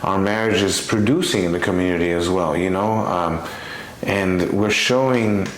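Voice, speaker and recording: A man with a fairly low voice speaks in an earnest, exhorting way, as in a conversational podcast. He repeats phrases for emphasis. The room is noticeably echoey, with faint noise behind him. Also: moderate pace. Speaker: podcast co-host. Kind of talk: conversational faith and marriage podcast talk.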